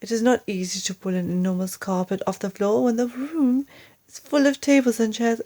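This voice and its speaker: A woman reading a children's story aloud as an expressive narrator, with deliberate, dramatic phrasing. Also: on the high side. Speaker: storyteller, English teacher.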